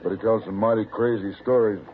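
Man doing a plain-talking old west sheriff, lowish voice, tinny hissy radio. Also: steady tone, fairly quick, close to an everyday voice. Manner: plain, homespun western speech. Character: weathered frontier sheriff, middle-aged man. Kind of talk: 1950s radio drama acting.

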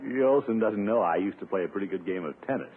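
An actor playing a pompous, blustering middle-aged American uncle in a 1950s radio comedy. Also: male voice, lowish voice, lively intonation, fast, lightly acted. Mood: smug, confident. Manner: pompous, blustering, self-satisfied chuckles. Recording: narrow, tinny 1950s radio recording.

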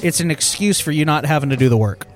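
A man's voice: fairly high pitch, lively intonation, fast talker, faint music behind. Laughing, rambling man on a podcast. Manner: laughs often, rambling with many fillers. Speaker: podcast co-host. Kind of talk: casual chat.

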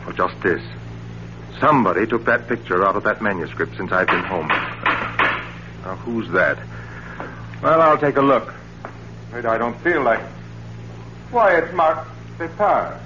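An American actor in 1940s radio style, playing an affable husband who grows uneasy and suspicious. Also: male voice, medium pitch, expressive, unhurried, long pauses, background noise, close to an everyday voice. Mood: uneasy, probing. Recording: muffled, humming 1940s radio recording.

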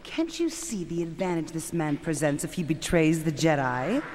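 Scheming young villainess, proud, dramatic, lively pitch, noisy reverberant audio drama. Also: female voice, moderate pace. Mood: scolding. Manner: haughty, drawling, dramatic. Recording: long hall reverb with noise.

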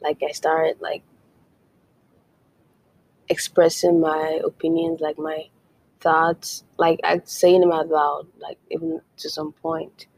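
A woman's voice: sits low, flat delivery, takes it slowly, creaky, pauses often, darkish tone, rough recording. A young woman from Nigeria hosting her own podcast, chatting casually with many "like"s and playful whispered asides. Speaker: podcast host. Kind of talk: casual solo podcast chat.

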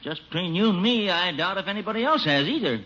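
Folksy, high-voiced store clerk. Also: male voice, animated, quick pace, bright, forceful tone, exaggerated voice. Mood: genial, curious. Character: folksy, genial, dim hardware store clerk. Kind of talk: 1950s radio comedy acting. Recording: muffled old radio recording.

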